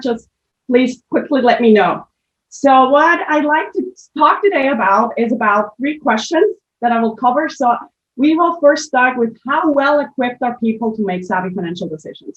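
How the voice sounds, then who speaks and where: A woman from Switzerland with a Swiss accent, giving a methodical conference presentation on financial literacy over a video call. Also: on the high side. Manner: explanatory, methodical, with frequent restarts. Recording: muffled lo-fi video call audio.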